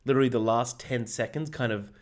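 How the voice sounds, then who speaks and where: An Australian man co-hosting a film podcast, energetic and jokey, swearing freely, with a hoarse voice. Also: medium pitch, fast talker. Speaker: podcast co-host. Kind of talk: casual film podcast chat.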